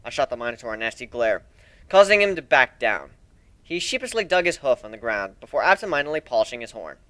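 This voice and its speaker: A man giving an expressive, theatrical first-person narration as an amateur dramatic story reader. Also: medium pitch, quick pace, no echo.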